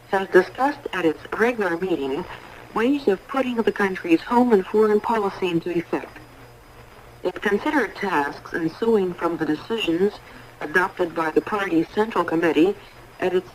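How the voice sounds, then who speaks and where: Female newsreader, lower register, formal and measured, 1980s shortwave broadcast with faint noise. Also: low-quality recording. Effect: shortwave radio. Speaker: radio news announcer. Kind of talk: formal scripted 1980s international radio newsreading.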